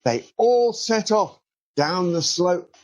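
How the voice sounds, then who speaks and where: A man narrating a children's storybook in an expressive, drawn-out storytelling delivery. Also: sits high, lo-fi sound. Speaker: author reading a children's story.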